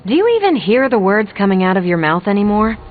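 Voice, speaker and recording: A woman's voice: mid-range voice, big pitch swings, fast talker, natural voice. A woman playing a skeptical, sardonic superhero teammate in a video game, dry and pointed. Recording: tinny, narrow-band game audio with music.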